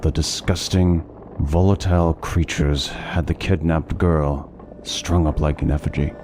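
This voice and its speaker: A man playing a courteous, learned, melancholy traveling scholar in a fantasy audio drama, speaking in measured, formal phrases with music behind him. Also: very deep voice, warm tone. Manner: measured, formal, articulate storytelling.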